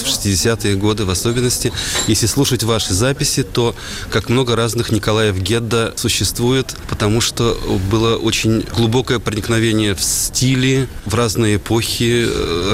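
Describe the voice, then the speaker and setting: A man interviewing a guest on the radio, asking measured, formal questions. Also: on the low side, fairly even intonation, a little hiss or hum.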